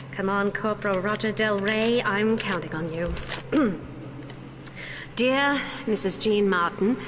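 Strong-willed, theatrical vintage housewife played by an actress. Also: female voice, medium pitch, background noise, natural voice. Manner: theatrical, earnest, folksy turns of phrase. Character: devout, strong-willed 1940s housewife, increasingly desperate. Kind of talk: dramatic game voice acting.

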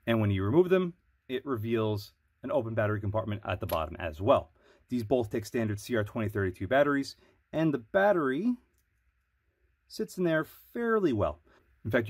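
Man, nasal with a cold, husky tone, dry close sound, measured reviewer delivery. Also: medium pitch, dry recording. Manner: measured, explanatory. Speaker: product reviewer. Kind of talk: product review voice-over.

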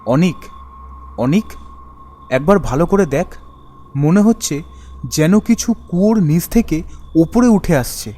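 A man voicing an anxious, urgent young friend in an animated Bengali ghost story, scared at a haunted cremation ground. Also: a little high, a little slow, pauses often. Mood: alarmed. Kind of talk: animated horror story dialogue. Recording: music behind the voice.

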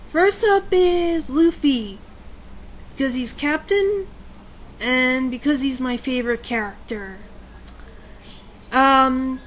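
High-pitched, hesitant woman. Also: a little hiss or hum, rough recording. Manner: hesitant, self-deprecating, trails off. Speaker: fan video narrator. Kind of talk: casual halting fan announcement. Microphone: home computer microphone.

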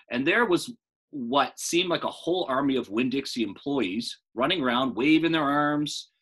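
A man in his thirties reading a children's book aloud as a storyteller, in an animated style. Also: mid-range voice, breathy edge.

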